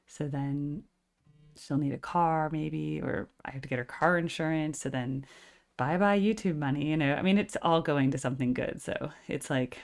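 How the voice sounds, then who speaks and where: Low-voiced chatty woman, operatic timbre. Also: normal speed, gravelly. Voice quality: full, operatically trained voice. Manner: chatty, constant filler "like". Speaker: music reaction channel host. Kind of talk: casual reaction chat.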